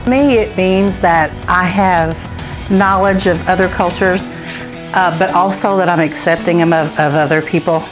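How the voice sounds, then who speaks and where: A woman answering interview questions in a calm, deliberate way. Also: fairly low pitch, music in the background.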